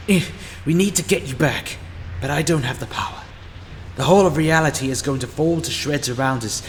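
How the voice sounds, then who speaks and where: A man's voice: fairly high pitch, a little hiss or hum, lightly acted. A man playing a scheming, self-important male time-meddler in a fan audio drama, speaking with sardonic impatience. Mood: impatient. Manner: sardonic and impatient, slow deliberate delivery.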